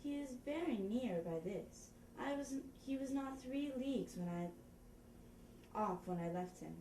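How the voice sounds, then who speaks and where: Casting: woman playing formal stage messenger reporting from war, soft dark tone, picked up far from the mic in a roomy space. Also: fairly high pitch, animated, a little slow. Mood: earnest, formal. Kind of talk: Shakespearean stage dialogue, scene reading. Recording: roomy, distant, faint noise.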